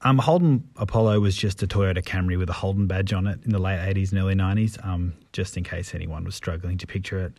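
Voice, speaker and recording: Low, husky Australian man. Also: fairly even intonation, fast talker, few pauses, mellow. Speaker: podcast host. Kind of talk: informal podcast footnotes. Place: under a bed sheet at home.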